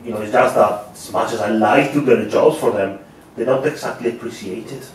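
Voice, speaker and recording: A man's voice: medium pitch, brisk, lightly acted. A man playing a weary, guarded private eye in a table read of a crime thriller. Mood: probing, measured. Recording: roomy, distant mic with faint noise.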